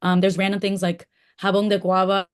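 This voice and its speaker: Give me a woman author with Spanish as her first language, chatting relaxed over a video call. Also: mid-range voice, brisk, smooth. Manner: relaxed, chatty with frequent fillers. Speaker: author guest. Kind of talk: casual interview talk.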